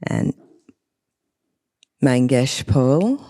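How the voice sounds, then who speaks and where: Woman, moderator, warm mellow tone, gracious, light humor. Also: rumbling low, big pitch swings, very slow. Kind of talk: panel introductions.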